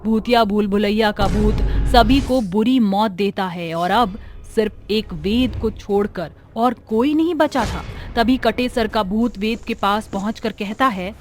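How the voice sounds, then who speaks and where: A female narrator reads a horror tale in a dramatic storytelling style. Her pitch is average for a woman, and music plays softly beneath. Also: measured pace, few pauses. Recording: music under the voice.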